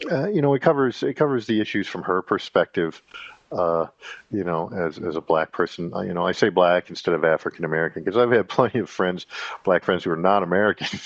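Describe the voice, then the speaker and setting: A man with a very deep voice rambles through a casual podcast monologue, halting on fillers and restarting his sentences. The recording is dry and close, with a dull, lo-fi tone. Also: dry recording. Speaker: podcast host.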